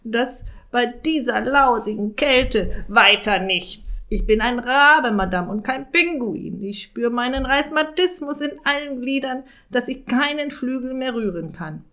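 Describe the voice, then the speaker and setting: A woman voicing a gloomy, complaining male raven with a croaking, rasping voice in a storybook reading. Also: on the high side, animated, a little slow, few pauses, sharp tone, pitched above the performer's own voice. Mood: indignant. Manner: whining, long-winded complaining.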